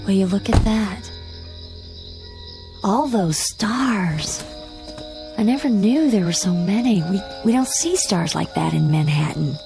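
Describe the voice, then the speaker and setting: A young American wife in a radio drama, chatty, wry and fond of needling. Her mid-pitched voice has a noticeable creak, and soft music sits behind it. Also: animated, slow pace, pauses often. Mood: puzzled, wondering. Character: wry, teasing young wife from New York.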